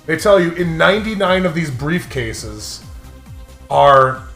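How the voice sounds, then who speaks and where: A man's voice: a little high, expressive, slow, a bit bright, echoey room, soft background music. A man co-hosting a casual comedy chat, giggly and rambling as he spins absurd hypotheticals. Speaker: podcast co-host.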